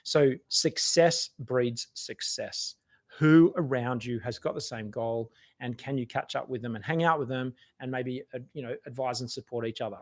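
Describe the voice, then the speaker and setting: A middle-aged Australian man with a voice worn hoarse, giving chatty, encouraging advice on a live video talk, with many restarts. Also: mid-range voice, normal speed. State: losing his voice. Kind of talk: casual motivational live talk.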